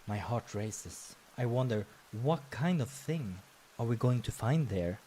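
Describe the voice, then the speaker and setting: A man's voice: medium pitch, lively intonation, a little slow, mellow. A man reading a visual novel's story aloud as narrator, with offhand asides of his own. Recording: music behind the voice.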